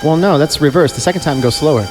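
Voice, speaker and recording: A man's voice: fairly high pitch, fast, soft background music. A man bantering casually as a podcast host, joking and riffing on a story being read aloud, often slipping into mock voices.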